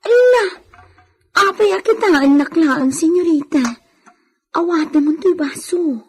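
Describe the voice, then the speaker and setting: An actress with an Ilocano accent playing a timid, fussing maid in a radio drama. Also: female voice, extremely high pitch, measured pace, a little mellow, soft background music. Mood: coaxing. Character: fussing, timid maid tending her pregnant mistress. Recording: slightly noisy radio recording.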